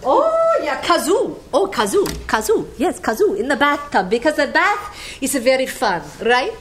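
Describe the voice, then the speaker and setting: A woman's voice: sits high, big pitch swings, normal speed, a bit bright, a little hiss or hum. A woman with an Icelandic accent telling rambling stories about a friend to a gathering, chuckling often as she talks. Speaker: speaker sharing memories of a friend. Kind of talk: casual storytelling to a gathering.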